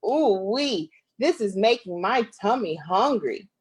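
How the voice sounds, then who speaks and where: A woman from Michigan, a guest author, reading a picture book aloud to children in an expressive, drawn-out way. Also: mid-range voice, breathy edge. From Flint, Michigan, United States.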